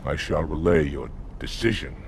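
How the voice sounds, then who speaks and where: Very deep, proud alien commander. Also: male voice, normal speed, vocal fry, noisy background. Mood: indignant, restrained. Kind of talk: cinematic video game acting. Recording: music behind the voice.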